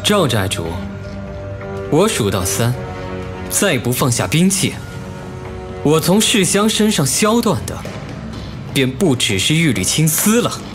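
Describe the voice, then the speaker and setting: Man acting a smug, slow-drawling scheming young nobleman. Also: fairly high pitch, sing-song. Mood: cold, gloating. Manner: slow, mocking, deliberate. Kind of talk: Chinese costume audio drama acting. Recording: music playing behind the voice.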